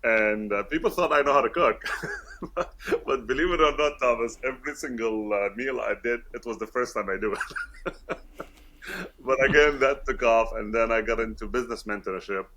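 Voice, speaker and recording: A man's voice: mid-range voice, normal speed, fairly bright tone. A man in his fifties, raised in Kuwait, with British-schooled English, chatting as an interview guest in a friendly, laughing way with many fillers. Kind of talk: casual interview talk.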